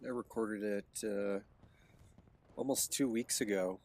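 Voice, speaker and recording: A man's voice: on the low side, fairly even intonation, slow pace, darkish tone. Congested man rambling through his past. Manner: hesitant, many restarts and fillers. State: congested from a cold, sniffling. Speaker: vlogger recounting his past. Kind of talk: reflective personal monologue.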